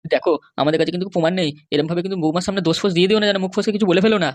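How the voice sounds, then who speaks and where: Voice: medium pitch, racing, no room sound, lightly acted. A performer voicing a compliant but grumbling, worried Bengali husband and father in a comedy cartoon, with a placating, hesitant manner. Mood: cautious, grumbling. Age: middle-aged. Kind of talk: animated comedy cartoon dialogue.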